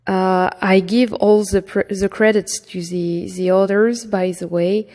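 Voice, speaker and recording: Slow French-accented woman reading a novel. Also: mid-range voice, steady tone, darkish tone. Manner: slow and careful, with some stumbles. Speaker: amateur audiobook reader. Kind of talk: reading a fantasy novel aloud. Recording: long hall reverb.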